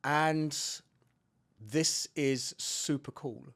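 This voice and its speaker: A man's voice: fairly high pitch, very slow, fairly bright tone. A man hosting a podcast interview in a hotel room, joking around and rambling, stumbling and restarting his sentences. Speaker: podcast host.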